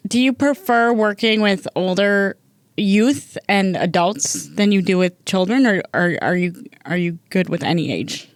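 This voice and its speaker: A woman's voice: mid-range voice, a little slow, dry recording. A woman hosting a podcast interview, upbeat and chatty with frequent fillers. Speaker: podcast host.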